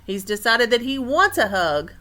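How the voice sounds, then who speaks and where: Female teacher for children's read-aloud: fairly high, crisp-edged bright voice, pauses to explain and quiz listeners. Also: sing-song. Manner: explanatory, asks listeners questions. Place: indoor room.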